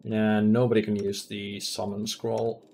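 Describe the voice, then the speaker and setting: A man's voice: lowish voice, completely flat delivery, a little slow, a little mellow, slight background noise. A man streaming a fantasy roleplaying game who thinks aloud in casual commentary, with many ums as he weighs loot and rules.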